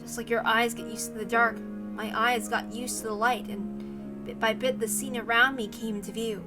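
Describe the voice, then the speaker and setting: High-voiced woman narrating a visual novel. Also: fairly even intonation, moderate pace, music in the background. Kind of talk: dramatic scripted narration.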